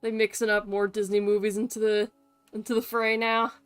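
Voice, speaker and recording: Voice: mid-range voice, little pitch movement, fairly quick, smooth, soft background music. A streamer giving casual, blunt, sweary commentary while playing a video game.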